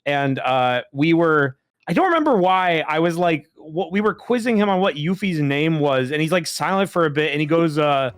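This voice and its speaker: A man in casual group commentary, retelling moments in an animated, profane way. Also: fairly high pitch, moderate pace, clear, resonant tone, dry recording, clean studio sound. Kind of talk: casual chat, commentary on a fan dub. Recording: music playing behind the voice.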